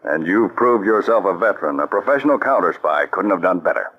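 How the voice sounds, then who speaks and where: A firm, grave counterspy chief in a vintage radio drama. His voice is very deep and his delivery measured and authoritative. The sound is dull and lo-fi, as on an old broadcast. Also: animated. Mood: businesslike. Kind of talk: 1940s radio acting.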